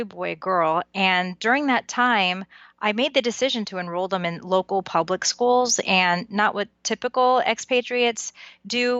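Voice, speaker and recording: A woman's voice: mid-range voice, dry recording, dull audio. A woman in her forties from the New York area whose first language is Japanese, telling her story fluently as an interview guest. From United States, New York tri-state area. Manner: fluent, storytelling, relaxed with light laughs. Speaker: guest, education author and consultant. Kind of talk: conversational interview answer.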